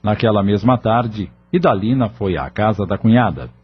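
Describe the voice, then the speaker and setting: A man's voice: fairly low pitch, expressive, moderate pace, muffled recording, lightly acted. A man with a Brazilian accent narrating a scripted audio novel as its storyteller. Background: music.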